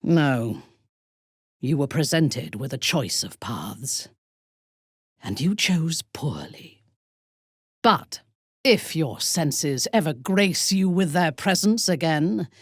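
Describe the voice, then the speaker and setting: A woman playing a proud, ruthless, seductive aristocratic villain in a video game, speaking in an imperious, deliberate, softly menacing way with a husky, breathy voice. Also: low voice, no echo, studio quality, heavily acted. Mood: cold, imperious. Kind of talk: dramatic video game voice acting.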